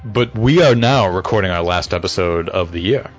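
A man's voice: deep voice, expressive, moderate pace, soft background music. A man co-hosting a podcast, talking casually and earnestly as a fan and acting out bits of imagined dialogue. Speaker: podcast co-host. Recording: slightly dull, lo-fi audio.

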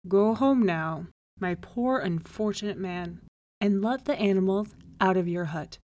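Woman reading as a measured, authoritative rabbi. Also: mid-range voice, darkish tone, close to an everyday voice. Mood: commanding. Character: wise male village rabbi, calm, firmly commanding. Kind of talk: storybook read-aloud character.

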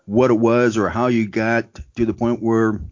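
A man hosting an interview podcast, talking in a relaxed, laid-back way with plenty of fillers. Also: lowish voice, measured pace, dull audio. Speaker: podcast host.

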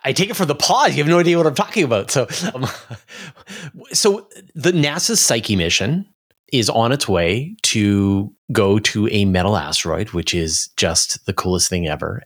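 A man's voice: low-pitched, a bit bright. A man speaking as a podcast guest, explaining space science in an enthusiastic, informal conversational way.

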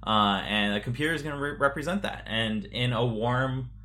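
A man's voice: mid-range voice, moderate pace, bright, forceful tone. A man co-hosting a podcast, explaining things in an informal, matter-of-fact way in casual conversation. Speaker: podcast co-host.

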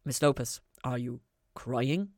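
A woman reader acts out a male devil in an audiobook tale. The voice is low and very dry in the room sound, with a suave, clipped delivery. He sounds wry, formal and slightly beleaguered. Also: slow, a little mellow, no echo. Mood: guarded.

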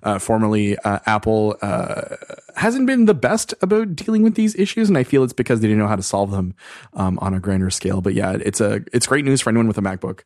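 A man's voice: lowish voice, big pitch swings, a little mellow, dry recording, clean studio sound. A man co-hosting a casual tech podcast, joking and wry as he talks through the news. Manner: wry, joking, quick asides. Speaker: podcast co-host.

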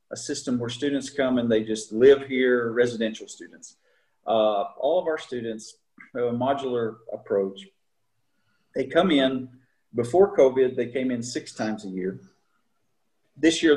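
Middle-aged guy teaching at a seminary, stops and restarts a lot, mid pitch. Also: darkish tone, rough recording. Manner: halting, many fillers and restarts. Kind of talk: casual interview talk.

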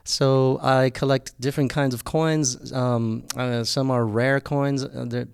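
A man's voice: medium pitch, flat delivery, measured pace, vocal fry, smooth, dry recording. An American man chatting as a guest, explaining things at length and laughing often. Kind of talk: casual interview chat.

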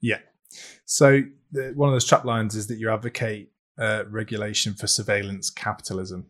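A man with a fairly low voice hosts an interview podcast. He talks informally and chattily, as in a conversation with his guest. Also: level tone, moderate pace, fairly bright tone.

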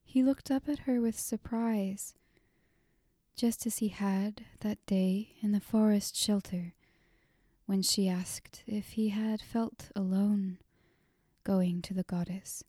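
A woman reading a fantasy novel aloud in a soft, breathy whisper. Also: mid-range voice, steady tone, slow, no echo, studio quality. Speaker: audiobook narrator. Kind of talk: whispered audiobook narration.

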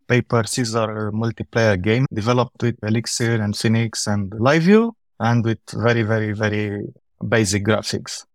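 Casting: male podcast guest, Romanian accent and native language, lowish voice, dry recording, explains in conversation. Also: dry recording. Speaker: podcast guest, software engineer. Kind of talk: conversational interview answers.

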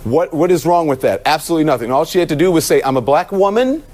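An American man argues as the host in a televised panel debate. His voice sits a little high for a man. He is insistent and emphatic, returning to the same point again and again. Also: lively intonation, quick pace, darkish tone.

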